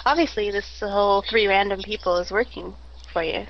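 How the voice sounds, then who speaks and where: A woman guest on a casual podcast, with a soft voice, speaking quietly and hesitantly. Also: medium pitch, normal speed, creaky, dull audio. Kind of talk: casual livestream banter.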